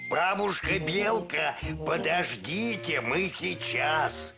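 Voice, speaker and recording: An actor voicing a slow, bashful, greedy young male bear cub in a children's cartoon, dragging the words sheepishly. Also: medium pitch, lively intonation, creaky, over loud music. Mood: helpful.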